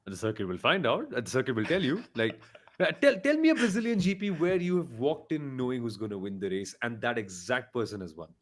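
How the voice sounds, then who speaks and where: A man's voice: medium pitch, very animated, fairly quick. A man with an Indian accent chatting as a podcast panelist, playful and opinionated in casual motorsport banter.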